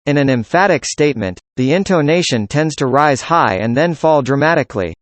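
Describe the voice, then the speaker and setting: A man reading a student's part in a scripted classroom conversation, with an even, measured delivery. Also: fairly high pitch, dry recording. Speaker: student in a dialogue.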